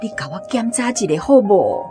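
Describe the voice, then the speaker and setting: Radio drama villager: Taiwanese, pleading and sore, higher-pitched, very animated delivery. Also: female voice, a little fast, faint music behind. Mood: pained, pleading. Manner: plaintive, complaining. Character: aching villager woman pleading with the village healer.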